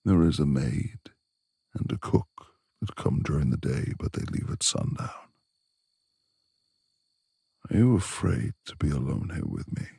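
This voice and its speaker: A man playing a brooding, reclusive beast-like lord in a romantic ASMR roleplay, proud and courteous with a quick temper, speaking in formal, old-fashioned phrases with a gravelly, husky voice. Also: very deep voice, big pitch swings, dark tone, dry recording, studio quality, full character voice. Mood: formal, reassuring.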